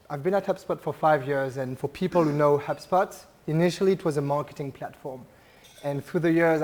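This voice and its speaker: A man's voice: on the high side, darkish tone. Man, French accent, business event talk, chatty, asks the crowd questions. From France. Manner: engaging, polls the audience with questions; conversational, frequent "uh" and "right?". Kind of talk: conference talk to a live audience. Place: event venue with an audience.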